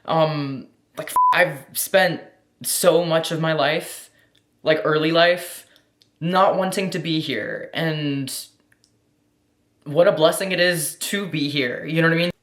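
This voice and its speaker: A woman's voice: deep voice, fairly even intonation, a little slow, noisy background. An American woman chatting casually in a Q&A vlog, effusive and slangy, swearing freely and peppering everything with "like". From United States. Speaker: vlogger answering questions. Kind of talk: casual Q&A chat. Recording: roomy echo.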